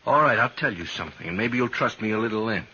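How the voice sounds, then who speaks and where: Bright-voiced rustic western prisoner. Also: male voice, fairly low pitch, lively intonation, breathy edge, exaggerated voice. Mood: confiding. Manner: rustic, drawn-out storytelling. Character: folksy Old West prisoner angling for trust. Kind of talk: 1950s radio western acting. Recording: dull vintage radio recording, faint noise.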